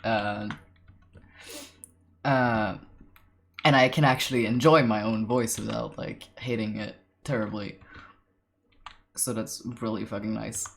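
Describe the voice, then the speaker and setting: A young man in his late teens with a deep voice, chatting casually on a livestream while drawing, rambling with lots of fillers, laughing often and swearing casually. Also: fairly even intonation, takes it slowly, vocal fry, pauses often. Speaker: artist streamer.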